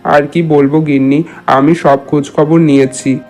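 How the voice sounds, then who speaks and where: Man playing a proud village headman, evenly pitched. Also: flat delivery, moderate pace, a little mellow, natural voice, rough recording. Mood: concerned. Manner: earnest, addressing his wife familiarly. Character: proud, status-conscious village headman, husband and new father. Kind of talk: cartoon dialogue. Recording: music behind the voice.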